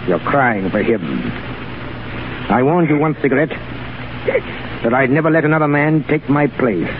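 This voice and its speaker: An actor playing a jealous, vengeful army commandant in a theatrical, commanding 1930s radio drama style. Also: male voice, on the high side, unhurried, close to an everyday voice. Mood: jealous, accusing. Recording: noisy phone-like 1930s radio recording.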